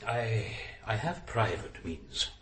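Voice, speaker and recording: A man's voice: fairly low pitch, sing-song, slow, fairly bright tone, echoey room, a little hiss or hum, exaggerated voice. An actor playing a middle-aged, stiff and evasive adoptive father in a naturalistic radio drama. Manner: prim, evasive, self-justifying.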